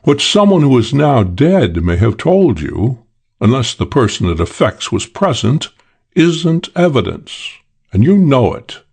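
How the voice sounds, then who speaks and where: A man's voice: mid-range voice, sing-song, measured pace, creaky, darkish tone, husky, full character voice. A man voicing a suave, boastful English con man in an audiobook, talking in a cool, self-assured drawl. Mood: cool, defiant. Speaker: character in an audiobook. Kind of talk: audiobook character voice.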